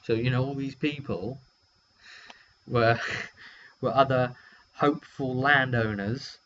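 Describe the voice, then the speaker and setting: A man's voice: medium pitch, takes it slowly, fairly bright tone. A man casually telling the story of a roleplaying game session in a rambling, wry way, acting out short quotes as he goes. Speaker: game master recapping a tabletop session. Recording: dull lo-fi mic with hum.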